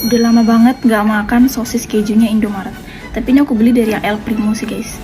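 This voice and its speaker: A woman's voice: on the high side, steady tone, quick pace. A woman chats casually while tasting food. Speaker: food taster. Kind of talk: casual mukbang chat. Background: prominent music.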